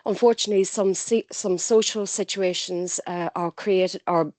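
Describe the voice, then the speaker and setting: A woman's voice: lowish voice, steady tone, moderate pace, darkish tone, no room sound. A woman with a British accent, adding careful, hesitant comments at a board meeting and repeating words as she goes. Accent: British English.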